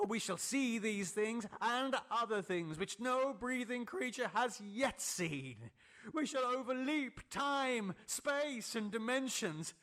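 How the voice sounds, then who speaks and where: A high, unnatural male voice for a fanatical man of science. He declaims in a grandiose, pedantic way, full of excitable zeal, like a character in a gothic horror audiobook. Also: heavily acted. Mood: exultant.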